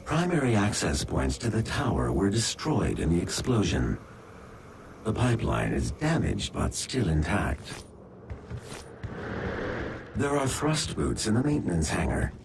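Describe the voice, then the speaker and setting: An actor playing a calm artificial intelligence in a video game, giving measured, informative mission briefings. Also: male voice, on the low side, vocal fry, background noise, exaggerated voice. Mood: matter-of-fact. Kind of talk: video game cutscene acting.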